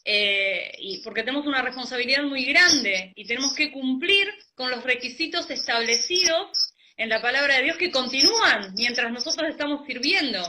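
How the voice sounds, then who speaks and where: Bright, high-voiced woman pastor. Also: expressive, normal speed. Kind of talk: doctrinal talk with brief affirmations.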